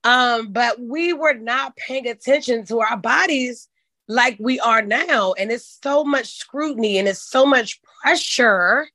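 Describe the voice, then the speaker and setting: Hoarse woman hosting a chat show. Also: a little high, unhurried, sharp tone, dry recording. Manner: folksy and candid, says "child". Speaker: podcast host. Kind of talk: casual chat.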